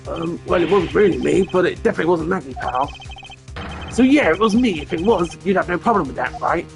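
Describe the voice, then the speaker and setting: A streamer voicing an excitable, loyal but bumbling male police detective who talks in a blustery way and keeps tacking "pal" and "sir" onto his lines. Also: mid-range voice, a little fast, creaky, faint music behind. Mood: flustered.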